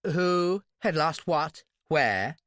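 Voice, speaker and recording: A man voicing a gruff, suspicious middle-aged Irish rig foreman in an audiobook, blunt and rough in tone. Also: animated, drawn-out words, fairly bright tone, dry recording, studio quality, full character voice. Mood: truculent, suspicious. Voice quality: deep, rough. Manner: no-nonsense, blunt. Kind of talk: audiobook character voice.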